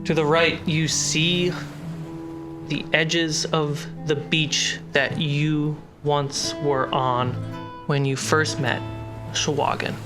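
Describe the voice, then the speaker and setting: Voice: medium pitch, little pitch movement, takes it slowly. Dramatic game master over plucked guitar music. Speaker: game master and host of the show. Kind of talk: narrating a tabletop fantasy game. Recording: music behind the voice.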